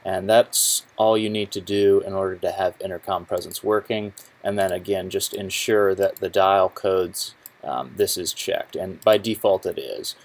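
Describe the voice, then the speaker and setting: A young man presenting a casual tech tutorial in an informal, halting way, often correcting himself. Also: low voice, steady tone. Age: young adult. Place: small office cubicle indoors, then screen recording voice-over.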